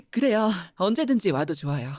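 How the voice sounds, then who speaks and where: A woman's voice: mid-range voice, sing-song, unhurried, darkish tone, breathy edge. A woman playing a middle-aged mother who runs a flower shop, teasing her daughter affectionately. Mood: warm teasing.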